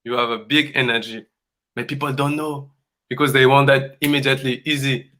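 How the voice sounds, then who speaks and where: A man from Congo, speaking as a dance coach in earnest, halting English and repeating phrases for emphasis. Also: mid-range voice, level tone, bright, forceful tone. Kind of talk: conversational coaching talk.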